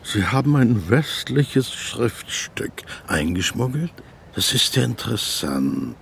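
An actor playing a stern, suspicious middle-aged army captain in a radio drama, with a husky, gravelly voice and an officious, sardonic way of giving orders. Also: male voice, lowish voice, sing-song, slow, fairly bright tone, a little hiss or hum, heavily acted. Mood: suspicious, menacing. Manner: clipped commands, officious and sardonic. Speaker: company commander.

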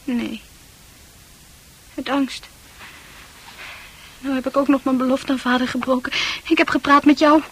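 An actress playing a dreamy, sensitive, troubled young woman in a Dutch radio play, confiding in earnest, halting, poetic speech. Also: high voice, steady tone, slight background noise, poor audio. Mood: troubled, subdued.

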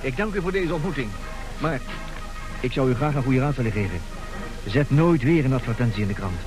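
An actor in an old radio comedy playing a polite, evasive, hot-headed gentleman suitor with a formal, hesitant, theatrical manner. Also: mid-range voice, animated, fairly quick, natural voice. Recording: old radio play, music behind.

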